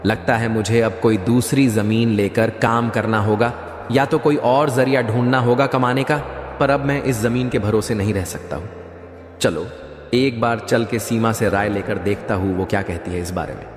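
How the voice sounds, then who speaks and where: A man playing an earnest, worried farmer in a cartoon moral story, speaking in a deliberate, explanatory way. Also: lowish voice, close to an everyday voice. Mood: worried, pondering. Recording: echoey, long reverb.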